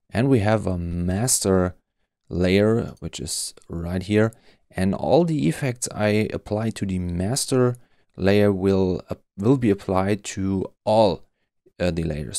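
A man presenting an explanatory tutorial, walking through things step by step in a measured way. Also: sits low, gravelly, darkish tone, dry recording, clean studio sound.

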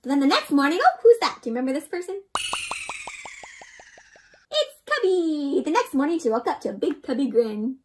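A young woman with a high voice hosts an interactive storybook read-aloud for children. Her delivery swings widely in pitch and is very expressive. She keeps checking in with the listener through simple questions. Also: fairly quick, long pauses, background noise. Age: young adult. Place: indoor room.

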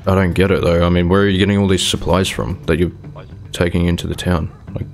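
A man's voice: low-pitched, flat delivery, fast talker, creaky. A man improvising as a wary, questioning survivor in a zombie game roleplay. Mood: puzzled. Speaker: player in a roleplay skit.

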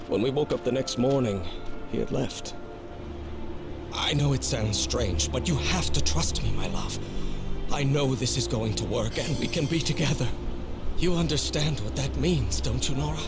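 A man's voice: mid-range voice, close to an everyday voice. An actor playing a feverishly devoted, unsettled expedition husband, narrating a dramatic letter to his wife in a video game. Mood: pleading, fervent. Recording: prominent music under the voice. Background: game music.

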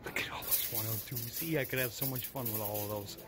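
A man's voice: medium pitch, fairly even intonation, quick pace, over loud music. A man with a playful, teasing manner, giving casual running commentary while filming inside a shop. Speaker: vlogger behind the camera. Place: inside a small radio shop. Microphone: handheld camera, close to speaker.

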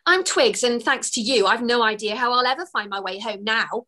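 A woman's voice: on the high side, moderate pace, sharp tone. A woman voicing a proud, prickly young fairy girl who talks defiantly. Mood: sarcastic. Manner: defiant, snappish.

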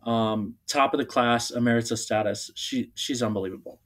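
A young man who was born in Bulgaria, a guest on a radio interview, talking in a relaxed, halting way with many ums. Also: mid-range voice. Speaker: opera singer guest. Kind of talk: casual interview talk. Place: radio studio.

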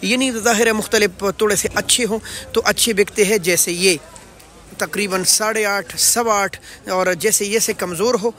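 A man's voice: high-pitched, steady tone, moderate pace, a bit bright. A man in his thirties with a Pakistani accent, presenting an informational market report in an earnest, projected way. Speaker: host of market rate updates.

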